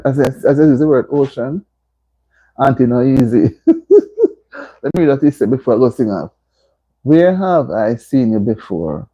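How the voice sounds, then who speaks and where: A woman's voice: low voice, highs cut off. A woman hosting a casual livestream from home, laughing and teasing as she reads viewer comments aloud. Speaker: livestream host. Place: indoors at home.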